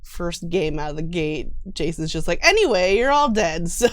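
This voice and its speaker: Lower-pitched giggly woman chatting. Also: moderate pace, dry recording. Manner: giggly, animated storytelling with mock quotes. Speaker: guest, tabletop roleplaying show cast member. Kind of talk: casual interview chat.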